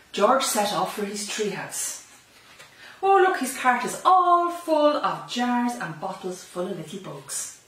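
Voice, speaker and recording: A woman's voice: mid-range voice, fairly bright tone. A middle-aged Irish woman reading a picture book aloud to young children, expressively and with chatty asides, in a library room. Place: small library room lined with bookshelves. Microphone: camera a few metres away. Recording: echoey room, slightly distant mic.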